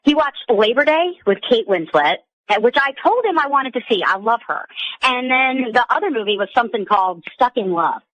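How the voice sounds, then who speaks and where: A woman's voice: fairly high pitch, lively intonation, fairly quick, a bit bright, no room sound, muffled recording. A woman calling in to a radio show, telling her story in a casual, conversational way.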